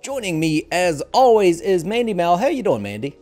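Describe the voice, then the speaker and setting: Lively, higher-pitched man hosting. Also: moderate pace, faint music behind. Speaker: podcast host. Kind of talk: casual gaming podcast hosting. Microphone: headset.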